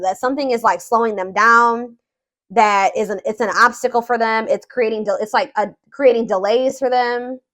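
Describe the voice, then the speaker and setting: A woman with a fairly high voice talks through a tarot reading in a casual, unscripted way. She muses aloud, feeling for the right words and circling back to rephrase what she has just said. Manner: hesitant, musing, repeats and rephrases herself. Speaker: tarot reader, host.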